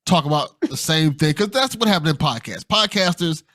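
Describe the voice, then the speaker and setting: A man's voice: a little high, expressive, moderate pace, fairly bright tone, dry recording, studio quality. A man hosting a podcast in a casual, conversational style, encouraging and supportive toward his guest. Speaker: podcast host.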